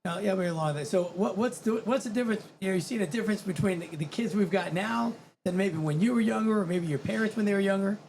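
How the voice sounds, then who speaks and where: Male interviewer with a high-pitched voice, casual and blunt with street slang, some noise behind him. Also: quick pace, vocal fry, hardly any pauses, a little mellow. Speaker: podcast host. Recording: noticeable background noise.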